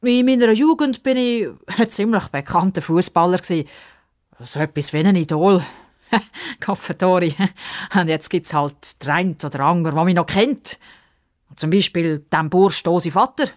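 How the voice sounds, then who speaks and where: A woman's voice: lowish voice, normal speed, close to an everyday voice. A woman voicing a kindly old man, a proud former football star who coaches with self-assurance, in a children's story.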